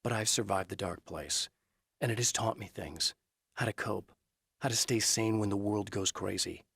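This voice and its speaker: A man playing a determined, brooding hero in a supernatural thriller game, speaking in an earnest, measured, guarded way with a husky edge. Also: on the low side, steady tone, a bit bright, dry recording, clean studio sound, heavily acted. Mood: brooding, resolute. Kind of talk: dramatic game acting, introspective monologue.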